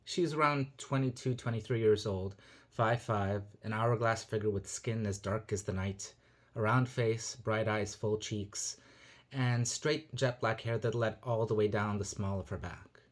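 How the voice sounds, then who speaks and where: A man raised in Nigeria and the United States reading his own reflective personal essay in a measured, earnest manner. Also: on the low side, fairly even intonation. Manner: measured, earnest, thoughtful delivery.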